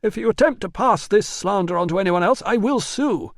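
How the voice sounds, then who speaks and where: A man voicing a panicky, blustering male employer in an audiobook, with rapid, indignant outbursts. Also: high-pitched, vocal fry, dry recording, studio quality, voice pushed up. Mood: furious. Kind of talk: audiobook dialogue.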